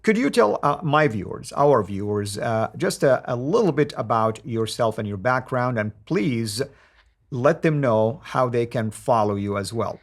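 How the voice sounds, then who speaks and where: A man's voice: medium pitch, animated, measured pace. A man hosting a studio interview, courteous and welcoming toward his guest. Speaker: host.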